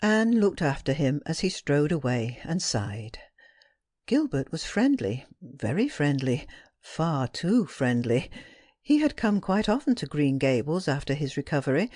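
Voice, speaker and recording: An audiobook narrator with a mid-pitched voice reads classic fiction. The delivery is scripted, expressive and tender, with a dramatic storytelling feel. The sound is very dry and close. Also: unhurried, no room sound.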